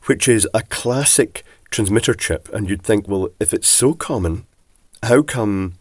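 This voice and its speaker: Man, congested, croaky, lowish voice, chatty teardown explainer, dry close sound. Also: a little slow, a little mellow, dry recording. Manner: chatty, rambling asides. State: congested from a cold, husky. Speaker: electronics teardown presenter. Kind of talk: casual technical explanation.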